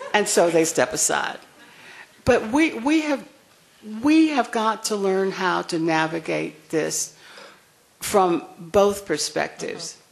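An older woman with a soft voice, speaking frankly and wryly on a discussion panel. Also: medium pitch, creaky. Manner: frank, wry, chuckling asides.

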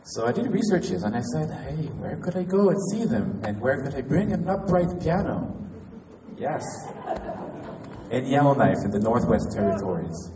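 A pianist and composer from Quebec with a Québécois French accent, introducing his show in a halting, casual way with restarts. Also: medium pitch, big pitch swings, a crowd in the background. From Quebec, Canada. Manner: halting, with restarts and light laughs. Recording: echoey hall from far off, noisy.

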